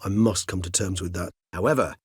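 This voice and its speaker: Game role: elderly vampire gentleman-scholar. Measured, bookish, gently weary; a touch husky, recorded close and dry. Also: deep voice, no room sound. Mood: solemn. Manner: measured, courtly, bookish phrasing with thoughtful hums. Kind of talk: video game character dialogue.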